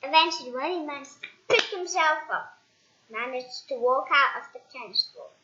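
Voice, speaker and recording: A child reading a storybook aloud in an animated way, haltingly, with restarts and dramatic emphasis. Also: sits high, highs cut off, a little hiss or hum. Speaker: storybook reader.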